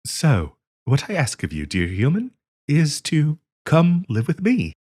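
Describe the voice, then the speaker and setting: A man's voice: a little high, huge pitch swings, slightly rough, heavily acted. A man voicing a courteous, earnest half-dragon diplomat in a roleplay audio, speaking politely and hesitantly to the listener. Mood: earnest, pleading. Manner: hesitant, polite, halting with frequent ums and soft chuckles.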